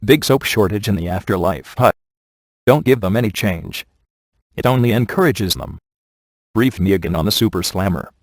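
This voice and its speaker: A man playing a wisecracking, sardonic ghost hunter in a video game, with a deadpan, offhand delivery. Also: on the low side, normal speed, no echo. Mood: sarcastic. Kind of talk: video game voice acting, comic one-liners.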